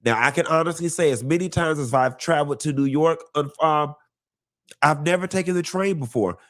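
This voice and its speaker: A congested man hosting a live gossip show, talking in a sassy, campy drawl, calling listeners honey and baby and swooping up high for emphasis. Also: fairly quick, a bit bright. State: congested from a cold. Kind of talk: casual campy gossip monologue.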